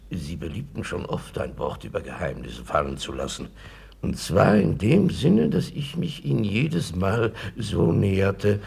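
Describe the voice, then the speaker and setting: An actor playing an obsequious, gossipy schemer in a radio play, gravelly and husky, wordy and insinuating in a servile way. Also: male voice, sits low, a little mellow, exaggerated voice. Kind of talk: German radio play acting.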